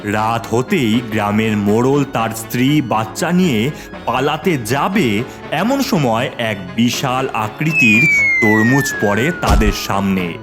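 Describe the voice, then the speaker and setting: A man's voice: mid-range voice, lively intonation, takes it slowly. A man narrating a horror cartoon story in a dramatic scripted style. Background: music.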